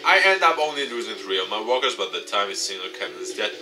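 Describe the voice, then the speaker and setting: A man reading a visual novel aloud as a let's player, with expressive dramatic narration. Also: lowish voice, fast, music in the background. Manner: expressive, acts out dialogue.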